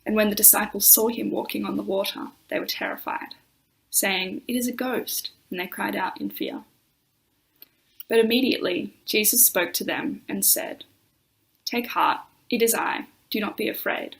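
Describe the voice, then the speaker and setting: A woman reading scripture aloud in a measured, solemn way during a church service. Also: medium pitch, creaky, rough recording. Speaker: scripture reader.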